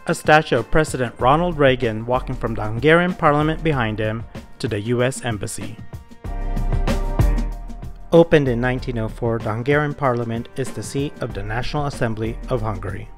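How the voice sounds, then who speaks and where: A man reading scripted voice-over narration in a measured, informative way. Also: medium pitch, music in the background.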